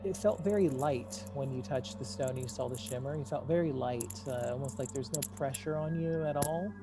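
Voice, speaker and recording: A game master improvising tabletop narration in a halting, conversational way, speaking to the players directly. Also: medium pitch, noisy background. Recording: music playing behind the voice. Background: music.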